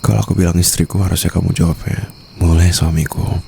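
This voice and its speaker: A man's voice: sits low, steady tone, fast talker, creaky, a little mellow, slight background noise. A man with an Indonesian accent playing a doting, possessive mafia boss husband in an intimate, teasing ASMR roleplay. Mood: possessive, coaxing. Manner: teasing, coaxing, murmured endearments with English phrases mixed in.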